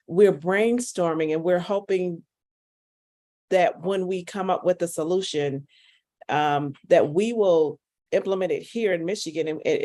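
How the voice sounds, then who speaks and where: A woman's voice: on the low side, a little mellow. A woman giving a fervent, upbeat testimonial on a call, repeating herself as she builds enthusiasm. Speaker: caller sharing a testimonial.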